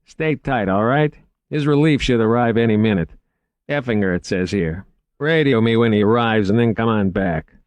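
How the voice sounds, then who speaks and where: A gruff, impatient dispatcher, as a man plays him. The reader lifts his low voice and speaks louder and sharper. The recording is dry. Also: moderate pace, no room sound, lightly acted.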